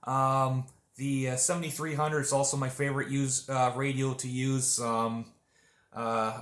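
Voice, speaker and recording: A man's voice: medium pitch, fairly bright tone, echoey room. A man chatting casually and at some length as an amateur radio operator on a contact. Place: indoors at a home radio desk.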